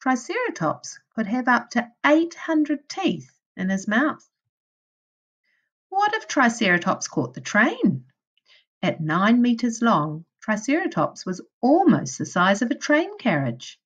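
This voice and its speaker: A woman teacher reading a children's storybook aloud in a deliberate way, addressing young children. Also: on the high side, very animated, breathy edge, lo-fi sound. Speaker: primary school teacher.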